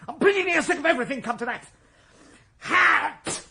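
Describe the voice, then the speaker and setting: A man's voice: extremely high pitch, expressive, normal speed, vocal fry, pushed and bright, exaggerated voice. An actor playing a fed-up, sulky English shopkeeper who snaps petulantly at his wife in a radio drama. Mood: petulant fury. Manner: petulant, snapping.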